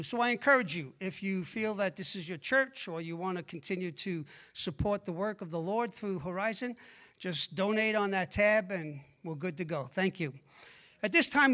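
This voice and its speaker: Older man, pastor, conversational sermon, hushed in prayer, bright tense voice. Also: sits high, dull audio. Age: 60s. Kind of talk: sermon with prayer and scripture reading.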